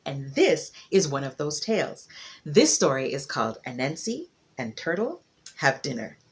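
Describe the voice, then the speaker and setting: A woman telling a folktale to children in an expressive style, stretching key words for dramatic emphasis. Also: deep voice. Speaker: children's storyteller.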